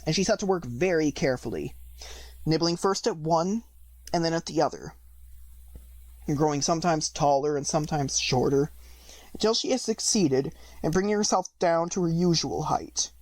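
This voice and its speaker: A woman reading a storybook aloud as an audiobook narrator, in an expressive scripted style. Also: deep voice, moderate pace, no room sound.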